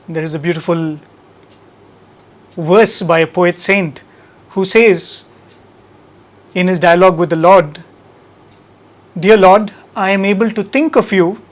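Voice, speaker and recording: A man with an Indian accent hosting a devotional satsang, speaking in a measured, emphatic way with rhetorical questions and repeated phrases. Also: sits high. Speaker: host of a devotional radio satsang. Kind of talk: spoken devotional talk to an audience.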